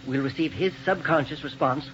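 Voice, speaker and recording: An actor playing an excitable middle-aged inventor scientist who lectures in technical jargon, in a 1930s radio drama. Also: male voice, on the high side, a little fast, lightly acted. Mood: explaining. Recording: muffled 1930s radio drama recording with hiss.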